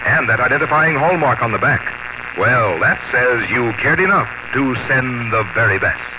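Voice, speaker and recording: Smooth man reading sponsor copy. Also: medium pitch, lively intonation, normal speed, very noisy. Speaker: radio announcer. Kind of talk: sponsor announcement. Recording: dull vintage radio broadcast with music.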